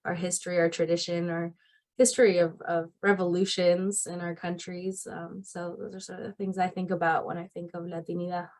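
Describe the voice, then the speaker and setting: A woman's voice: fairly low pitch, little pitch movement, fairly quick, darkish tone. A woman in her thirties from Argentina, talking as a guest on a panel, reflective and full of fillers and restarts. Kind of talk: casual panel talk.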